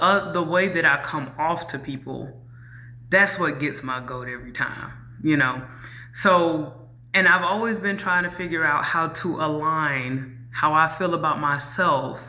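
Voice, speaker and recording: A woman with a very low voice, talking candidly to camera in a reflective way, with many ums and you-knows. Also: bright, forceful tone. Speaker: YouTuber. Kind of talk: casual talk to camera.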